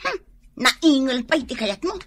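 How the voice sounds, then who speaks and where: A woman's voice: high voice, lively intonation, moderate pace, a bit bright. A woman with an Ilocano accent playing an exasperated, nagging village wife in a comedy radio drama, complaining as she talks. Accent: Ilocano, northern Philippines. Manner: scolding, complaining.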